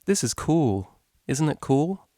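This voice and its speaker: A man's voice: a little high, very animated, takes it slowly, dark tone, no echo, clean studio sound, voice pushed up. Man as a laid-back, cheeky unicorn DJ mare. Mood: giddy, dreamy. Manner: casual, slangy, easygoing.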